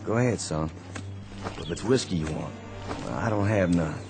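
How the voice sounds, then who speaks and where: A man playing a tired, kindly Confederate sergeant, speaking gently and plainly in a radio drama. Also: low voice, normal speed, music in the background. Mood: weary, kindly. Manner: gentle, fatherly, plain-spoken. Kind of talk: 1960s-style radio drama acting.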